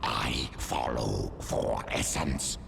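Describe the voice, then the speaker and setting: A man voicing a hulking primal alien predator in a video game, with a gravelly growl and menacing, stilted phrasing. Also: a bass voice, extremely slow, full character voice. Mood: hungry, menacing. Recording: noisy ambience behind the voice.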